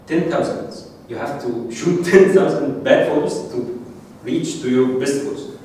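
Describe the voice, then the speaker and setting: Egyptian man lecturing in an echoey room. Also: medium pitch. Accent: Egyptian Arabic. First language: Arabic. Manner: halting, repeats words, animated. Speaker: masterclass speaker. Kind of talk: informal lecture to an audience. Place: dark screening room with audience. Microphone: handheld mic, filmed from the back of the room. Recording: echoey room, distant mic, faint hiss.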